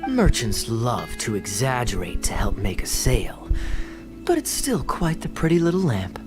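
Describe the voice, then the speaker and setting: A charming young knight, smooth and playfully mocking. The voice sits mid-range but moves around a lot. It comes from a game soundtrack, with music clearly playing underneath. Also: male voice, medium pitch, huge pitch swings, moderate pace. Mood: relaxed. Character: suave, teasing young male knight. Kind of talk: game voice acting. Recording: game audio with music behind.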